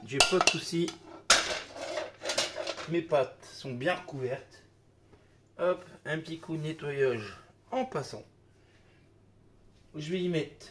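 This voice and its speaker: A man's voice: on the high side, fairly bright tone, a little hiss or hum. A man with a slight cold hosting a cooking video, talking casually as he works and peppering his speech with little interjections. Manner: chatty, filler-heavy with "hop" and "hein". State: a bit of a cold. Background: kitchen clatter of dishes and utensils.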